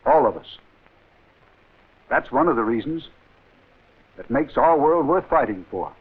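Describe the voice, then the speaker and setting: A middle-aged American man, an army general, giving a measured, solemn formal address in a 1940s film. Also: mid-range voice, slightly rough. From United States. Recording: tinny, narrow 1940s film soundtrack.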